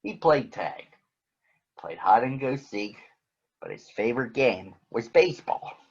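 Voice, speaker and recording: A man reads a picture book aloud for children. His voice sits at a medium pitch. The recording is a bit dull and lo-fi. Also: big pitch swings, measured pace, husky. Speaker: children's story reader.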